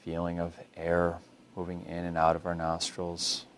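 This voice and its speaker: A man leading a guided body scan meditation as a mindfulness instructor, speaking in a calm, unhurried and gently instructive way. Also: low-pitched, slight background noise.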